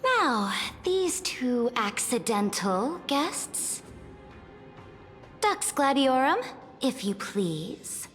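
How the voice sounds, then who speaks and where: A woman's voice: high voice, sing-song, extremely slow, a bit bright, heavily acted. A woman playing an imperious, conquering empress in a video game, haughty and commanding in a grand, formal, declamatory way. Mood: cold, contemptuous. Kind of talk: dramatic video game voice acting. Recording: long hall reverb, music behind.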